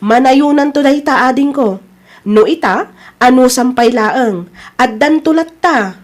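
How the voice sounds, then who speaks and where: A woman acts a supportive big-sister type in an Ilocano radio drama. Her voice sits a bit high, and she advises in an encouraging way with an Ilocano accent. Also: animated, measured pace. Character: supportive older-sister figure advising a young man.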